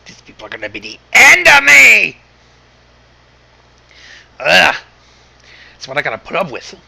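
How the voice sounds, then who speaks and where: Bright, excitable high-pitched man. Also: a little slow, creaky, breathy edge. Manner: exasperated, excitable. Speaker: host of online fan fiction reading. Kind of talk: casual, profane online chat.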